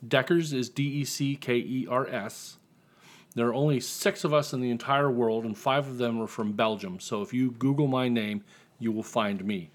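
A man's voice: medium pitch, moderate pace. A man chatting casually as an author guest in an interview, telling his story in a wry, dry way.

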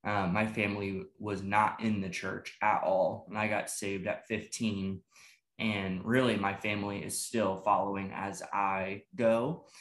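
A man's voice: fairly low pitch, level tone, unhurried, fairly bright tone. A man hosting a podcast interview, upbeat and casual, hyping his guest and laughing often. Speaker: podcast host, pastor. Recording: roomy echo.

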